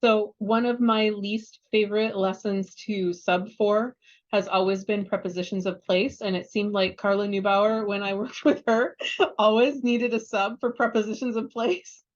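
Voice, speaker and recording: A woman teacher chiming into a discussion, flat and unhurried. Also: medium pitch, dull audio. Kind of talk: casual discussion.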